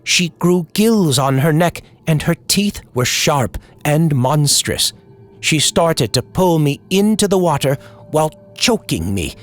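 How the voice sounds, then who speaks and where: Male horror narrator, old-time radio storytelling, lively pitch, unhurried pace, music underneath.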